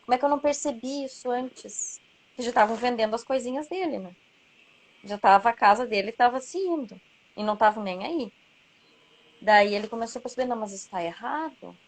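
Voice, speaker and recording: A woman's voice: a little high, fairly quick. A woman author talking casually about her book, reflecting aloud and closing her points with tag questions.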